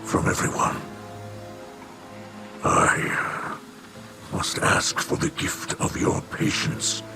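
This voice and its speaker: An actor playing a burdened, middle-aged alien leader, grave, halting and formal, in a video game cutscene. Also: male voice, medium pitch, creaky, full character voice. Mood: pleading.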